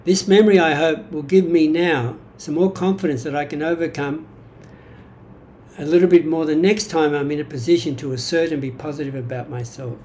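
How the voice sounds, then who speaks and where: An elderly man with an Australian accent speaks in a fairly high, soft-edged mellow voice. He talks reflectively and haltingly, often correcting himself. Some background noise sits behind him in a room at home. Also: fairly even intonation. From Greek-born, grew up in Australia. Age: 70s. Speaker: vlogger sharing personal history. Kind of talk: reflective monologue from notes. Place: small indoor room at home.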